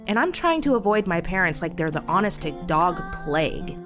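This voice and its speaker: A woman's voice: fairly low pitch, sing-song, normal speed. A woman playing a sardonic, fiercely loyal teenage girl reading her journal in an emotive comic dub. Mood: bitter. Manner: wry, sarcastic, emotive. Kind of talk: dramatic first-person journal narration in comic dub. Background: soft guitar music.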